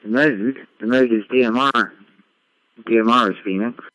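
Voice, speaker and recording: A man's voice: medium pitch, steady tone, normal speed, fairly bright tone. A man chatting as an amateur radio operator over a digital radio link, explaining technical details in a matter-of-fact way. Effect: digital ham radio transmission. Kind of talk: casual radio contact chat, technical. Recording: dull, band-limited amateur radio audio.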